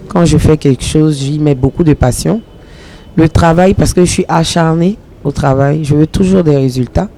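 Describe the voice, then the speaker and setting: Congolese actress being interviewed, earnest and measured, warm mellow voice. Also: female voice, low-pitched, a little hiss or hum. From Republic of the Congo. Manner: earnest, measured, speaks about ambition with conviction. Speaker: actress and comedian. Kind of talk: interview answers.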